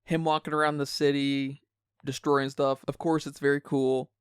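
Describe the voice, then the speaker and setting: A man's voice: medium pitch, little pitch movement, moderate pace, clean tone, no echo. A man co-hosting a podcast, casually giving his opinions on films with dry humour. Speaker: podcast co-host. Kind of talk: casual film discussion.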